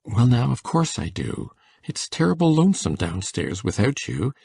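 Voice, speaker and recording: A man acts out a shy older farm man in an audiobook drama. His voice is gravelly and mellow with lively swings, recorded dry. He coaxes softly and haltingly, with rustic kindliness. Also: medium pitch, full character voice. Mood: eager, gentle.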